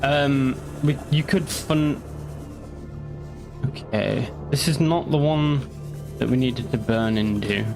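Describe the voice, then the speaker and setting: A man's voice: medium pitch, slow pace, vocal fry, long pauses, over music. A young man chatting casually while streaming a game, rambling and joking with the odd curse. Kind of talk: casual gaming commentary.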